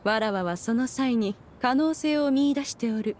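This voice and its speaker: A woman's voice: on the high side, normal speed, darkish tone, slight background noise, close to an everyday voice. A woman voicing a proud, regal ancient immortal in an anime game, a stern but caring master with stately, archaic diction. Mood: haughty, curious.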